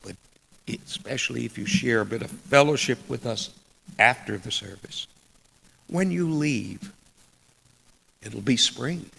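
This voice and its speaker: A middle-aged man delivering a sermon in a deliberate, measured way with dramatic pauses and a gravelly, husky voice. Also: mid-range voice, a bit bright. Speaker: preacher reading a sermon. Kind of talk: sermon with read quotations. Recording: long echoing hall reverb.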